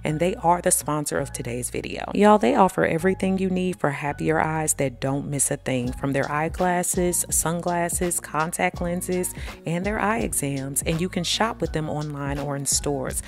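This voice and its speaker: Chatty woman in her 30s narrating a beauty vlog; low, gravelly voice, soft music underneath. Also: moderate pace. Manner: chatty, addresses viewers as y'all. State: pregnant. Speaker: beauty vlogger. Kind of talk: voice-over narration of a self-care routine.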